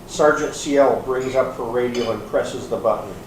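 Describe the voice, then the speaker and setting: A man's voice: medium pitch, normal speed, close to an everyday voice. A man narrating scripted stage directions for a readers theater. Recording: very echoey room, distant, faint noise.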